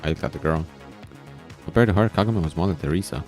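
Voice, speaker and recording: Male streamer, low register, narrating story text with informal side remarks over background music. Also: fast, a little mellow. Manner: informal, reacting to the story with asides. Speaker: streamer reading a visual novel aloud. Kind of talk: reading aloud with casual side comments.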